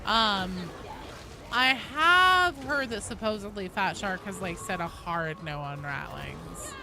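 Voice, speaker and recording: A woman's voice: mid-range voice, lively intonation, slow. A woman chatting casually and swearing freely while she live streams a game. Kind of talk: casual live gaming chat.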